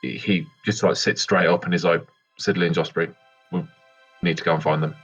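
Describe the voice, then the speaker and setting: A man's voice: low-pitched, steady tone, moderate pace, a bit bright, husky, soft background music. A man casually improvising what his character does as a player in a tabletop roleplaying game. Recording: dull, lo-fi microphone sound.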